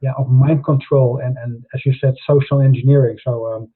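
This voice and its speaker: A man hosting a live online interview, talking haltingly with many fillers and restarts over a video call. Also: medium pitch, steady tone, husky. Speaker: host. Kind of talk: hesitant live interview introduction. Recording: tinny phone-like audio.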